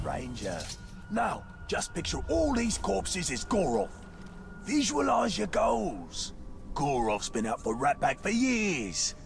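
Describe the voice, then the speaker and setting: A cowardly, conniving orc underling from a fantasy game. The voice is gravelly and fairly high, leaping wildly up and down as he schemes and boasts. Music plays clearly underneath. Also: heavily acted. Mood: resentful, scheming. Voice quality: gravelly, creaky. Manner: speaks of himself in third person, conniving. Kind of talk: video game character acting.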